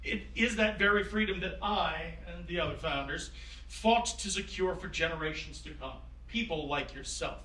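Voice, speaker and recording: Man acting as genial wise founding father, courtly measured phrasing, high bright voice, staged reading, distant roomy recording. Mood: earnest, probing. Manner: courtly, old-fashioned phrasing, measured and avuncular. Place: room at a live staged reading.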